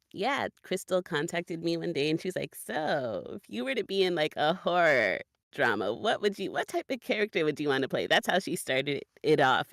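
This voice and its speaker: A woman's voice: low voice, creaky, no room sound. Upbeat woman on a dry, close recording. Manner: upbeat, informal. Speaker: voice actor as guest. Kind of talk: casual interview chat.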